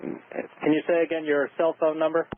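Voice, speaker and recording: A man working as an air traffic controller, giving halting, procedural instructions and repeating call signs over an aircraft radio. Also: on the high side, monotone, dry recording, narrow, tinny audio. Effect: aircraft radio transmission.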